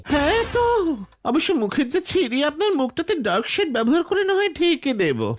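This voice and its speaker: A woman's voice: high-pitched, wildly swooping pitch, moderate pace. A woman voicing a fussy, self-important cartoon painter who talks grandly and with condescension. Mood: grand, excited. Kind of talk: exaggerated cartoon acting. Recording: cartoon music behind the voice.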